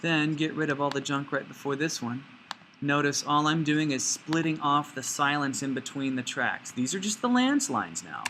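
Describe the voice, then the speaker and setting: A man giving informal step-by-step software instruction as a teacher, with folksy, playful phrasing. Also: a little high, lively intonation, normal speed, slight background noise. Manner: folksy, playful asides and sound words.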